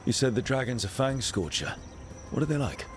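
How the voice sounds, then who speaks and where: An actor playing a supportive, reassuring leader of an adventuring team in a video game. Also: male voice, medium pitch, expressive, brisk, background noise, close to an everyday voice. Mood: curious, wry. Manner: reassuring, encouraging. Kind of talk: video game cutscene acting. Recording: music behind the voice.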